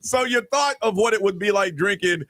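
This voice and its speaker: A man's voice: high-pitched, fairly quick, fairly bright tone, dry recording. A man hosting a morning call-in radio show, bantering with callers in loose slang and laughing often. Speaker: radio host. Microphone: studio microphone.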